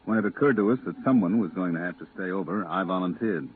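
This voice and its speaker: An actor playing a stern, weary moon-base pilot with a clipped, authoritative manner, in a 1950s radio drama. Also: male voice, low voice, quick pace, natural voice. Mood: matter-of-fact. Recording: tinny, hissy 1950s radio recording.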